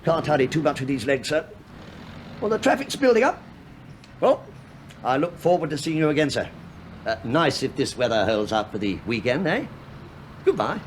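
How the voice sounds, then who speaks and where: An actor playing a chatty, falsely bumbling middle-aged English police inspector who needles politely in a British radio drama. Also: male voice, a little high, big pitch swings, normal speed, pauses often, a little hiss or hum. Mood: genial rambling. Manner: rambling, deferential, needling small talk.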